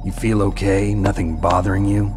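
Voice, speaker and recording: An actor playing a gruff, curt, no-nonsense soldier in a video game, speaking in terse, dry, commanding phrases with a gravelly growl. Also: male voice, sits low, steady tone, moderate pace, loud music behind the voice, natural voice. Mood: dry, guarded. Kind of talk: video game cutscene acting.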